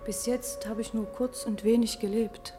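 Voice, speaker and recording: A woman's voice: a little high, fairly even intonation, normal speed, mellow, faint music behind, natural voice. An actress playing a lonely, self-mocking female night guard in a German radio play, musing hesitantly and repeating her words. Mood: subdued, guarded.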